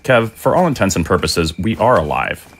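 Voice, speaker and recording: A middle-aged man playing a devoted, gentle undead father in an audio drama, speaking in a halting, earnest way. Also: low voice, big pitch swings, a little hiss or hum. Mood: tender, reflective.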